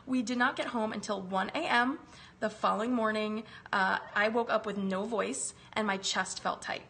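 A woman's voice: medium pitch, normal speed, gravelly, bright, forceful tone. A woman in her thirties chatting on a live stream from her home kitchen, recovering from a chest virus and greeting viewers by name. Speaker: wellness live-stream host. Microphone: phone camera close up.

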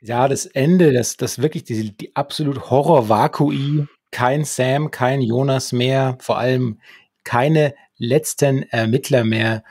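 Leicht heiserer Podcast-Mann, trockener Klang. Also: male voice, mid-range voice, steady tone, slow pace, darkish tone, slightly rough, no room sound, clean studio sound. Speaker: podcast co-host. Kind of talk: conversational podcast presenting. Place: small home room with bookshelves. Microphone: close desktop microphone with headphones.

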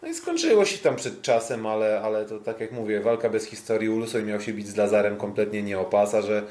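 A man hosting a casual sports podcast, talking in a hesitant, halting way. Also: fairly low pitch, big pitch swings, darkish tone. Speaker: podcast host. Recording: roomy, echoing sound.

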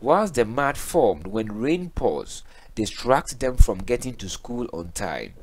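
A man's voice: mid-range voice, big pitch swings, slow, a little mellow, slight background noise. A man with a Ghanaian accent reading a scripted news report as narrator.

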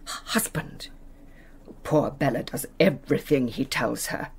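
Fussy, fluttery English lady's companion, husky. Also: very deep voice, a little slow, full character voice. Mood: anxious, confiding. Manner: fluttery, gossipy, prim. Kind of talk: British radio play acting.

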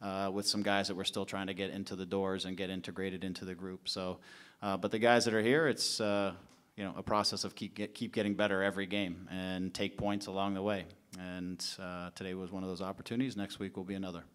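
A man in his forties speaks into a table mic at a press conference. His voice sits low, smooth and resonant, and it stays fairly level in pitch. He answers steadily, thinking aloud with fillers and restarts. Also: little pitch movement, brisk, fairly bright tone. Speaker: head coach. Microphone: table mic in front of him.

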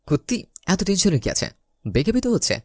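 A man's voice: sits high, sing-song, fairly quick, no room sound, studio quality. A young man narrating a romantic comedy story in the first person, theatrically acting out the lines. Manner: theatrical, acts out his lines.

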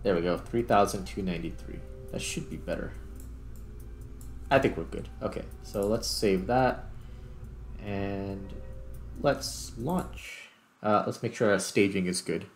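A man giving casual gameplay commentary, thinking aloud in short, unhurried phrases. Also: on the low side, gravelly, a little hiss or hum. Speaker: gaming YouTuber, player commentating.

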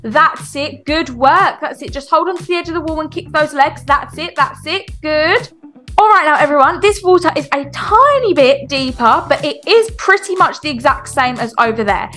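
A woman playing a brisk, encouraging but strict children's swimming coach in an online game roleplay, giving instructions and repeated reassurance. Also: high-pitched, expressive, bright, forceful tone. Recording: music behind the voice.

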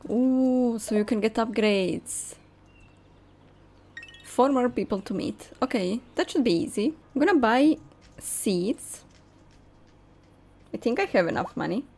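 Slow-paced young woman gamer. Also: on the high side, animated. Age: young adult. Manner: thinking aloud. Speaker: gamer, host. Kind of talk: casual let's play commentary. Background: soft game music.

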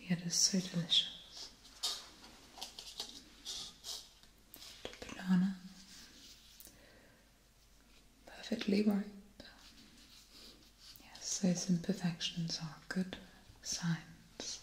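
A young woman playing a gentle guardian angel who tends a wounded warrior in a breathy, soothing whispered ASMR roleplay. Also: lowish voice, steady tone, reverberant, poor audio. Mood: soft, tempting. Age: young adult. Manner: slow, soothing, caring. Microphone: close binaural microphone.

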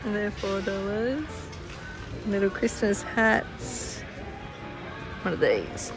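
A woman's voice: medium pitch, expressive, slow, over music. An Australian woman chatting casually as she films a shopping vlog in a large store, often laughing. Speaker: vlogger. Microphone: handheld phone camera.

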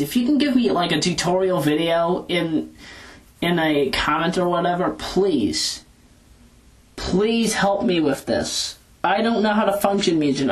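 An informal audio blogger with a mid-range voice talks off the cuff into a handheld recorder. The delivery rambles and hesitates, with frequent self-corrections, in the manner of a casual personal log. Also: mid-range voice. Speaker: video blogger. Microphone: handheld audio recorder moved back and forth.

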